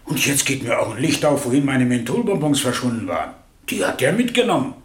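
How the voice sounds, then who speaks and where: An actor playing a plain-spoken railway crossing keeper giving blunt testimony in a German radio crime drama. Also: male voice, mid-range voice, sing-song, a little fast, natural voice. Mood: indignant, puzzled. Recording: roomy, echoing radio drama studio.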